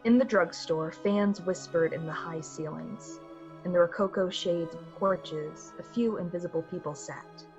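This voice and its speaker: A woman narrating a radio play in a measured, atmospheric, dramatic storytelling style. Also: lowish voice, lightly acted. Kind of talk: literary radio-play narration in 1940s manner. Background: music.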